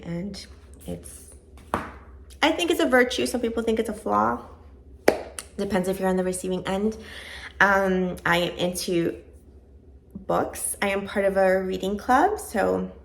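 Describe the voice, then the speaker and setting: Woman, late 30s, casual rambling vlogger, gravelly fry, mid pitch. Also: a little hiss or hum. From born in Venezuela, raised in Georgia. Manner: chatty, rambling, self-deprecating. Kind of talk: casual chat to camera.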